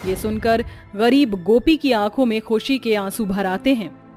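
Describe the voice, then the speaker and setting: High-voiced woman narrating a story. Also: a little slow, darkish tone, soft background music.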